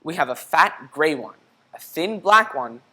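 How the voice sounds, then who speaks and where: A woman voicing a matter-of-fact male animal shelter worker in a children's storybook, speaking plainly and deliberately. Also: sits low, lively intonation, bright, forceful tone, breathy edge, heavily acted.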